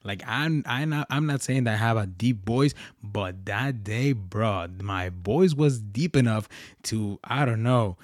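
A man's voice: on the low side, unhurried, dry recording, clean studio sound. A young man hosting his own podcast, talking casually and rambling with restarts and slang. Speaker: podcast host. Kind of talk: casual solo podcast talk.

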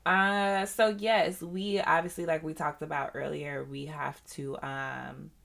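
Low gravelly woman, bright tone. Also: measured pace. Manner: relaxed, thoughtful, conversational. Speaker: podcast host. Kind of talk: casual chat.

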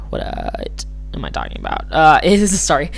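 Solo podcaster, slightly hoarse, mid pitch, rambles and corrects themselves. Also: wildly swooping pitch, unhurried, a little hiss or hum. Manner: rambling, hesitant, self-correcting. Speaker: podcast host. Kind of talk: casual solo commentary.

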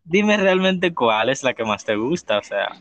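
A man's voice: mid-range voice, big pitch swings, a little slow. A man with a Dominican accent hosting a casual podcast chat, playful and teasing. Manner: playful, teasing, laughs loudly. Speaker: podcast host.

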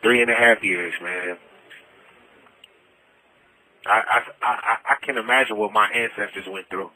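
A man's voice: lowish voice, measured pace, bright, forceful tone. An American man making an emphatic, repetitive, impassioned plea over a prison phone line. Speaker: prisoner telling his story. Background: music.

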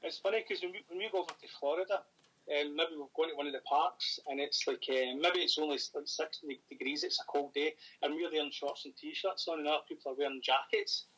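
A Scottish man speaks as a podcast guest, his voice a touch high and bright. He talks fast and rambles, full of fillers and restarts. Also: fairly even intonation, breathy edge, poor audio. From Scotland. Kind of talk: casual chat.

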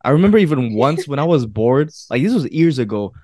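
A man's voice in a middle pitch, recorded dry and close with no room echo. He hosts a casual podcast in an animated, laughing manner and stresses points by saying them twice. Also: fairly quick. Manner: animated, laughing, repeats phrases for emphasis. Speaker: podcast host.

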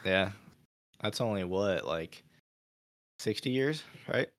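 A man's voice: fairly low pitch, animated, slow. A young man chatting casually as a co-host, playful and teasing. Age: young adult. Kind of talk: casual chat.

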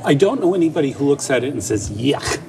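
A man in a casual talk show, making points in a measured way. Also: mid-range voice, very animated, creaky, noisy background. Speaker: podcast co-host. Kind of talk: casual discussion.

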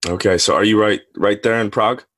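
A man's voice: fairly low pitch, fairly even intonation, fairly quick, a bit bright, no echo, studio quality. A man hosting a podcast, asking friendly, curious interview questions. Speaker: podcast host.

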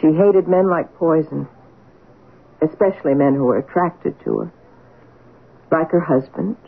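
Earnest sleuthing wife on old radio. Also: female voice, sits low, measured pace, creaky, slightly rough, full character voice. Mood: composed. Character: earnest, perceptive wife, handwriting sleuth and narrator. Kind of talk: 1970s radio mystery acting. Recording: noisy, narrow-band old radio recording.

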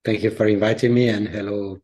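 A man with an Italian accent speaks as a guest in a conversational interview. His voice is fairly low, and he picks his words in a thoughtful, halting way. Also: little pitch movement, a little mellow. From Italy. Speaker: interview guest, leadership coach.

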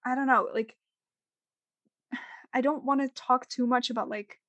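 A woman's voice: high-pitched, steady tone, unhurried, a bit bright, no echo, lo-fi sound. A young woman co-hosting a podcast, talking casually with lots of fillers and restarts. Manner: rambling, many fillers and restarts. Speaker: podcast co-host.

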